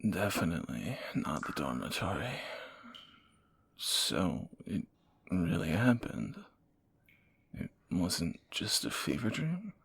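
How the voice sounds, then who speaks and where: A man playing a groggy fantasy assassin in an intimate romantic audio roleplay, husky and halting, with wry understatement. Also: low voice, very animated, a bit bright, a little hiss or hum, full character voice. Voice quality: gravelly, husky morning voice. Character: groggy male fantasy assassin, softening captor, flustered and teasing.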